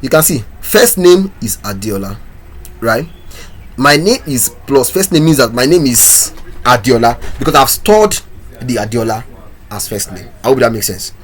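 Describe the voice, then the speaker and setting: Man, coding instructor, husky voice, walks through code, quizzes viewers. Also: medium pitch, lively intonation, normal speed. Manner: talks through code step by step, asks viewers questions. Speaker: programming instructor. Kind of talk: screen-recorded coding tutorial.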